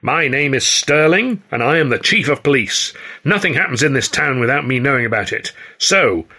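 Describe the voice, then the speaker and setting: A blustering, self-important comic police chief acted out by a male narrator in an audiobook. He declaims loudly and stubbornly, pitched well above the reader's own voice, with a bright, forceful edge. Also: animated, normal speed. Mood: pompous, bellowing. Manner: loud, pompous, declamatory.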